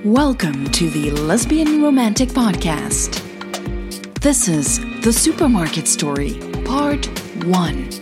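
A woman podcast host reading scripted audio drama narration in a measured, intimate way with deliberate pauses. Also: lowish voice, big pitch swings, loud music behind the voice.

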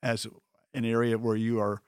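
A man hosting a podcast, asking friendly, measured questions. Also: mid-range voice, little pitch movement, darkish tone, clean tone, no room sound, studio quality. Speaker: podcast host. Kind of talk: podcast interview.

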